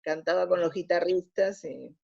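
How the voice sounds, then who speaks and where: A woman in her sixties with a Buenos Aires Spanish accent reminisces conversationally as an interview guest, telling stories expressively with searching pauses. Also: lowish voice, gravelly, darkish tone, slightly rough. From Argentina. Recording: dull lo-fi audio.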